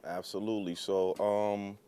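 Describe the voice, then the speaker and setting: A man's voice: fairly low pitch, level tone, unhurried, dark tone, clean tone. A man from Guyana who moved to the US as a child, interviewing in a casual, conversational style. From born in Guyana, came to the US at seven. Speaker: interviewer, co-host.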